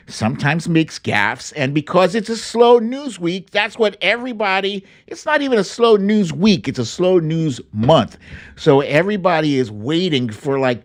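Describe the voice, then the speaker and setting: A man hosting a live political commentary stream, speaking casually and bluntly with salty slang and theatrical, opinionated delivery. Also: on the high side. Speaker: host of a political commentary livestream.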